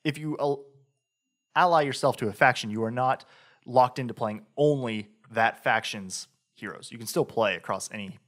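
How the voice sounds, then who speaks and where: A man's voice: mid-range voice, normal speed, fairly bright tone. A man co-hosting a podcast, reviewing a game in casual chat, talking in a rambling way with lots of fillers and restarts. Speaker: podcast co-host.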